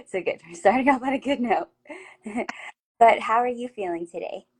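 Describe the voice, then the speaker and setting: A woman's voice: on the high side, animated, normal speed, breathy edge. A woman hosting a casual interview over a video call, talking in an affirming, chatty way with frequent restarts. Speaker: host.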